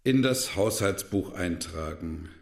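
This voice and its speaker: A man's voice: deep voice, expressive. A middle-aged actor playing a stern, joyless, miserly lawyer father who admonishes in a radio play. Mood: stern, admonishing. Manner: slow, admonishing.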